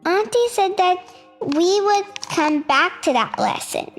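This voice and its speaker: Very high, childlike storybook reader in reverb. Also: expressive, slow. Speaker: storytime host reading aloud. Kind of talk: read-aloud children's storybook narration. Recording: reverberant hall sound with music. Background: music.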